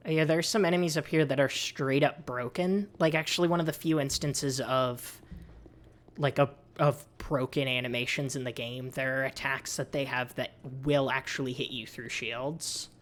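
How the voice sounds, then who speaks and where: A woman with a low, androgynous and slightly raspy voice, chatting casually with viewers while she plays and talks game lore. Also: steady tone, normal speed. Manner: soothing, chatty, thanks viewers often. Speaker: livestreamer and lore host. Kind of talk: casual livestream chat while gaming.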